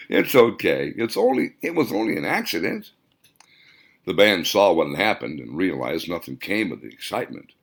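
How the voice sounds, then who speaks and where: A man reading his own novel as an audiobook, with dramatic, expressive storytelling. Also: low-pitched, vocal fry. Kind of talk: scripted audiobook narration.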